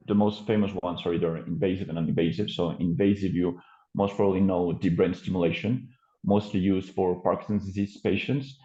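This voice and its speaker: A man's voice: deep voice, mellow. A man with a French accent presenting his company's work on a video call, hesitant, with many fillers and restarts. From France. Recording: muffled roomy call audio.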